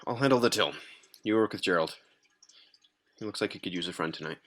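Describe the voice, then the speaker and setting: A man's voice: on the low side, quick pace, a bit bright. A man playing a responsible older brother and young boss in an amateur drama table read. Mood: caring.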